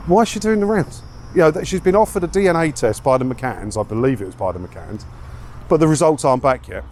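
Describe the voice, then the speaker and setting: A man's voice: mid-range voice, a little mellow. An English man chatting casually and giving his opinions as a video blogger, full of rhetorical questions, talking as he walks outdoors. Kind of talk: casual walk-and-talk commentary on news stories. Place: outdoors, walking around a seaside town. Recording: outdoor street noise, traffic.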